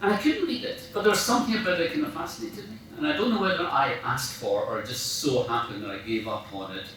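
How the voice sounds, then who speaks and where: A man's voice: mid-range voice, fast talker, fairly bright tone. A Scottish man of mature years at a club dinner, heard from across a very echoey function room, telling rambling, humorous, self-deprecating stories as the guest speaker. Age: middle-aged or older. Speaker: after-dinner speaker, professional writer. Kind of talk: informal anecdotal speech to an audience. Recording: echoey room, distant mic, faint noise.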